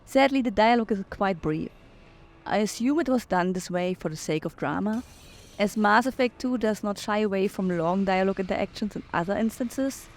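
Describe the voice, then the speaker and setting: A woman's voice: mid-range voice, moderate pace. A woman giving her opinions in commentary on a video game playthrough.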